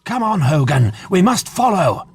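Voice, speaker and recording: A man playing a resolute, curious gentleman adventurer in a comic dub, with a brisk, commanding, proper manner. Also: sits high, very animated, breathy edge, pitched above the performer's own voice, full character voice. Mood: urgent.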